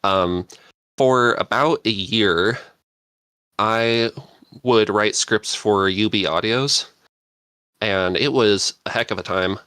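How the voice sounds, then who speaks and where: A man's voice: fairly low pitch, slow, long pauses, bright, forceful tone, smooth, no echo. A man chatting casually as a panelist, rambling and searching for words. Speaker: podcast panelist and scriptwriter. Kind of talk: casual chat.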